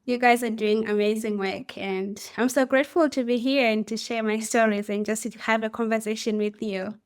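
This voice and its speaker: A woman's voice: fairly high pitch, fairly even intonation, normal speed, smooth, no echo, studio quality. A young woman, a student guest telling stories in a reflective, hesitant way. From Zimbabwe. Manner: reflective, hesitant with many ums. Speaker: podcast guest, university student. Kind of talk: interview storytelling.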